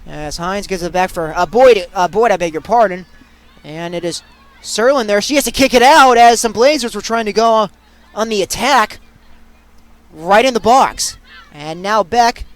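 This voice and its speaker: A young man doing excitable live play-by-play sports commentary as a student sportscaster. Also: sits high, moderate pace, dry recording. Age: young adult. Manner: excitable, bursting into shouts on big plays.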